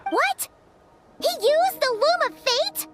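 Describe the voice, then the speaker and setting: Tiny excitable fairy sidekick for an anime game: very high, childlike, swooping pitch. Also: female voice, slow pace, exaggerated voice. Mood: alarmed. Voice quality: squeaky, childlike. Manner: chirpy, exclaiming, refers to self in third person. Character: Paimon, small excitable childlike female fairy companion. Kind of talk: anime-style video game voice acting.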